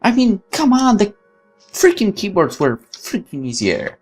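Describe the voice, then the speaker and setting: A woman let's player reads game text aloud over music. She breaks into opinionated, exclamatory asides and swears freely, in a middling pitch. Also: sing-song, unhurried, a little mellow, slightly rough. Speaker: let's player reading a visual novel aloud. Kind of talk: reading game text with candid side commentary.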